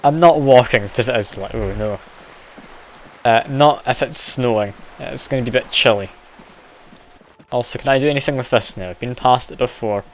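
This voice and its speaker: A man's voice: medium pitch, normal speed, a little hiss or hum. A man streaming a game live, chatty and self-deprecating, laughing often as he plays. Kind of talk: casual live game commentary. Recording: dull lo-fi stream audio, game music behind.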